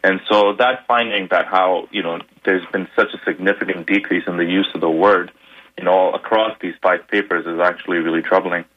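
A man, a guest journalist, explaining research findings in a radio interview, measured and full of fillers. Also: sits low, steady tone. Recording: muffled lo-fi radio audio.

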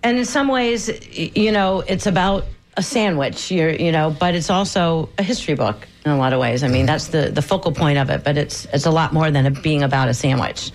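A woman's voice: low voice, brisk, slight background noise, poor audio. A woman co-hosting a radio interview show, talking with guests in a conversational way.

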